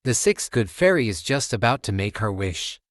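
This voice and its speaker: A man reads a children's story aloud as a storytime host. His pitch moves up and down in a lively way, and the sound is dry and close. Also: moderate pace, dry recording. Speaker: storytime channel host reading children's books.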